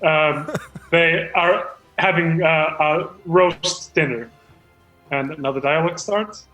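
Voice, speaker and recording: High, bright-voiced man, earnest and flustered. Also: slow, roomy sound, soft background music, rough recording. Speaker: screenwriter reading out his script at a comedy table read. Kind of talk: halting stage-direction narration and comic banter.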